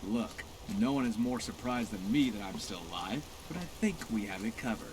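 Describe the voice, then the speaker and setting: A man's voice: fairly low pitch, expressive, brisk, background noise, close to an everyday voice. A man voicing a sardonic but loyal pirate companion in a fantasy video game, with a dry delivery. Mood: dry, teasing. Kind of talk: scripted fantasy game dialogue.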